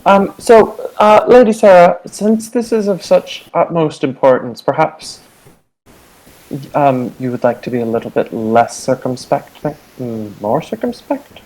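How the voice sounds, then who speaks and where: A man's voice: on the high side, animated, a little slow, darkish tone, poor audio. A man voicing a condescending, scheming member of an aristocratic household who talks in a dry, probing way.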